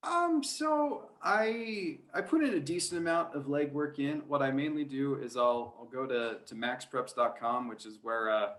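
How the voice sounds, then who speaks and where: A man's voice: mid-range voice, unhurried, hardly any pauses, fairly bright tone. A man speaking as an interview guest, a sports announcer telling anecdotes in an easygoing, chatty, self-deprecating way. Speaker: podcast guest, high school sports play-by-play announcer.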